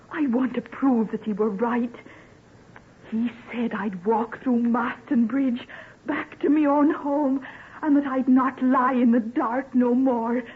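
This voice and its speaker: High-voiced northern country lass. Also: female voice, husky. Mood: determined, moved. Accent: northern English. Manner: emotional, hesitant, exclaiming. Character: timid, devoted young country lass Thurza, anxious and trembling. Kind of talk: old-time radio acting. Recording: noisy, narrow-band old radio recording.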